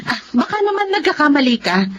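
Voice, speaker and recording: A woman's voice: high-pitched, big pitch swings, brisk, a bit bright, muffled recording, a little hiss or hum. A woman playing an attentive, possessive wife and mother in an audio drama. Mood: puzzled, protective.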